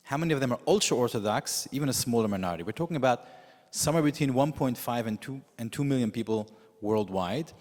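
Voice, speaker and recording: Man, rabbi, native Yiddish speaker, sermon, mid pitch, pauses and fillers, reverberant hall. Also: lively intonation, a little mellow. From United States. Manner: measured storytelling with frequent pauses and fillers. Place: synagogue sanctuary with long reverb.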